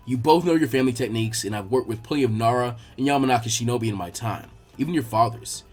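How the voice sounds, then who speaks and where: A man voicing a calm, encouraging adult ninja teacher in a story reading, measured and reassuring. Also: mid-range voice, slightly rough, faint music behind, pitched below the performer's own voice, close to an everyday voice. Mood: calm, appraising. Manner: measured, reassuring, laid-back. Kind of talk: fan fiction character dialogue.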